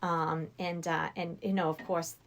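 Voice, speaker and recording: A woman's voice: lowish voice, level tone, measured pace. A middle-aged woman chatting casually as a podcast guest, telling anecdotes and voicing what people said. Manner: anecdotal, acts out quoted dialogue. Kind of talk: casual film discussion.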